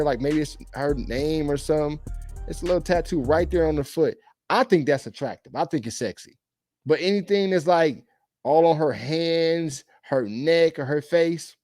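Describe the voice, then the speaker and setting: Slightly hoarse man with a mellow voice. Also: fairly high pitch. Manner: loose, rambling, conversational. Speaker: dating commentary vlogger. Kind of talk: casual opinionated commentary.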